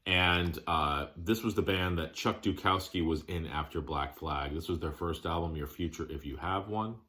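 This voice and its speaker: A man giving a casual show-and-tell of his collection, plainspoken, listing items in short, halting phrases. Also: deep voice, sharp tone.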